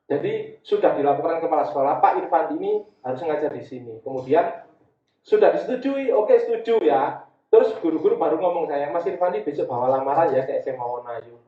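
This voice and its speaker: A man's voice: fairly high pitch, lively intonation, brisk. A man with an East Javanese Indonesian accent giving an informal talk as a guest speaker, telling personal anecdotes in a conversational way and repeating phrases. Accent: Javanese Indonesian, East Java. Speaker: guest speaker, community education founder. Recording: dull, echoey, distant from the mic.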